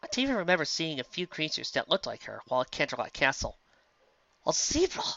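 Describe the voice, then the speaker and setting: Bright-voiced male story narrator. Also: a little high, very animated, fast, vocal fry, muffled recording. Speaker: fanfiction audiobook reader.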